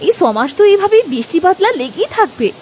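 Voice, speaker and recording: A woman playing a nagging, sharp-tongued village potter's wife in a moral-story cartoon, scolding and complaining. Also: very high-pitched, sing-song, quick pace, slight background noise. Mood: fed up.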